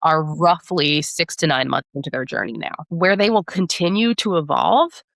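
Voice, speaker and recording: A woman's voice: low-pitched, moderate pace, vocal fry, a bit bright, no echo, clean studio sound. A woman speaking as a podcast guest in a conversational interview, explaining things and often checking in with a tag question. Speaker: podcast guest, product marketing director.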